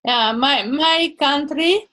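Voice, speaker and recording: Older woman on a video call, high voice, talks slow, hunts for words. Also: bright, forceful tone. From Transylvania, Hungary. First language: Hungarian. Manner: halting, searches for words, non-native grammar. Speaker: Toastmasters member and blogger. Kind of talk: casual video call chat.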